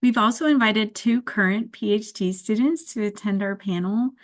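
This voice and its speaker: Woman hosting an online info session, mid pitch, explains stuff in a measured way. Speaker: program director hosting an online open house. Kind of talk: informational presentation to prospective students. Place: video call.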